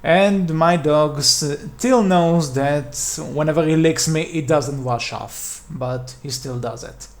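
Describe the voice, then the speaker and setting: A man with a noticeably nasal voice chats on a fan podcast. He speaks slowly, a little high-pitched, with a dry sarcastic edge and plenty of false starts. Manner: sarcastic, rambling with restarts. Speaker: podcast co-host. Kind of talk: casual fan podcast chat.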